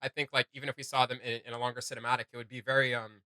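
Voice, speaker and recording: A man's voice: medium pitch, little pitch movement, racing, bright, forceful tone, no echo. A man hosting a reaction video, giving casual, enthusiastic and rambling commentary.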